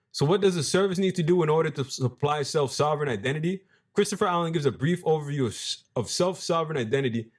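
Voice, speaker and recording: A young man with a deep voice giving casual, animated commentary as a crypto video host, reading passages aloud and using slang. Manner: animated, hype-driven, street slang, restarts mid-phrase.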